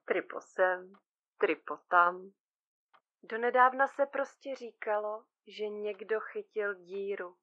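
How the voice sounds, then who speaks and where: An actress playing a frazzled, blunt surgeon of about thirty in a comic audio drama, colloquial, sarcastic and exasperated. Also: female voice, mid-range voice, steady tone, muffled recording, lightly acted. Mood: exasperated, dismissive. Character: frazzled, blunt thirty-year-old woman surgeon split in two, bickering.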